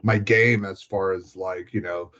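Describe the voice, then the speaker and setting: A man's voice: low-pitched, fairly even intonation, unhurried, fairly bright tone. A man chatting casually in a rambling, informal way, leaning on "like" as he talks, on a dull lo-fi recording. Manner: rambling, informal, heavy use of "like". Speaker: hobbyist tabletop game master. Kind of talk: casual chat.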